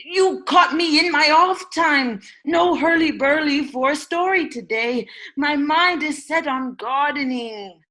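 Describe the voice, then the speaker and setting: A woman's voice: high-pitched, slow pace, few pauses, sharp tone, full character voice. A woman playing a mischievous rhyming goblin gardener in a comic radio play, with a sing-song manner. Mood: teasing. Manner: sing-song baby-talk rhymes.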